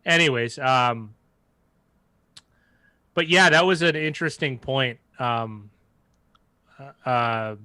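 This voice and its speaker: This is a man with a bright, forward voice, recorded dry with very little room around it. He talks in a loose, rambling way, like someone co-hosting a relaxed sports livestream with a friend. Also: mid-range voice, slow pace, long pauses, clean tone, no echo. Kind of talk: casual sports watch-along chat.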